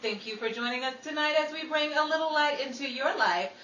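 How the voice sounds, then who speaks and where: A woman's voice: high-pitched, brisk, sharp tone, lo-fi sound. A woman playing a showy 1940s Hollywood radio newscaster, reading scripted trivia in a theatrical style. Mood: presentational. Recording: roomy, distant mic with faint noise.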